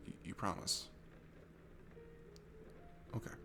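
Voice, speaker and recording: A man's voice: takes it slowly, background noise, lightly acted. A man playing a shy, sweet, nervous young guy at a bar in an intimate ASMR roleplay, stammering and hesitant. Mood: anxious, apologetic. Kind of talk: ASMR roleplay audio, intimate one-sided conversation. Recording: music behind the voice. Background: music.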